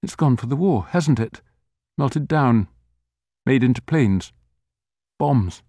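A man's voice: medium pitch, lively intonation, slow pace, warm tone, dry recording, studio quality, voice pushed up. A man voicing a shaken wartime man, raw and desperate, gasping out urgent words. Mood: numb.

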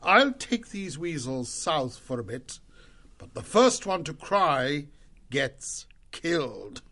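A man's voice: on the high side, big pitch swings, slow, bright, forceful tone, voice pushed up, heavily acted. A man playing a stern, haughty male owl knight in a storybook, with a pompous, declamatory manner. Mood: huffy resignation.

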